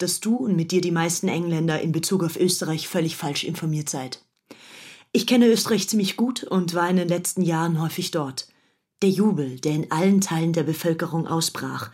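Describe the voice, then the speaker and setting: Actress as haughty, fanatical young upper-class woman, lower-voiced. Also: a little mellow, lightly acted. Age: young adult. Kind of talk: dramatized audiobook documentary, reading diary and letters.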